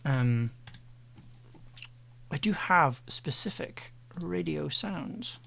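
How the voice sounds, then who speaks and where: A man's voice: a little high, expressive, drawn-out words, creaky, fairly bright tone. A man chatting casually on a livestream as an audio editor, thinking aloud while working. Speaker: audio drama editor and streamer. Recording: faint noise, keyboard clicks. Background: keyboard typing and clicks.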